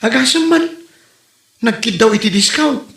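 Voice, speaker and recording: A man's voice: very high-pitched, lively intonation, normal speed, creaky, fairly bright tone, full character voice. A man playing a hapless, complaining tricycle driver in a comedy drama, stammering and exclaiming in exasperation. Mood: exasperated complaining. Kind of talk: comedy radio drama acting. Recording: roomy, echoey sound.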